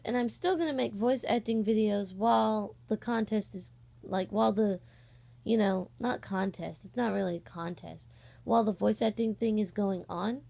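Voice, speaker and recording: A woman's voice: a little high, vocal fry, mellow. A sixteen-year-old girl giving a casual, rambling, hesitant announcement in an informal vlog style, on a cheap microphone. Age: 16, teenager. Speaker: amateur voice-acting channel creator. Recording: cheap, slightly distorted microphone.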